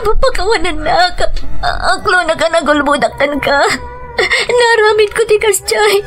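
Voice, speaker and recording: A woman playing a remorseful young wife who begs tearfully and haltingly for forgiveness in a melodramatic audio drama. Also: extremely high pitch, expressive, fairly bright tone, soft background music, heavily acted.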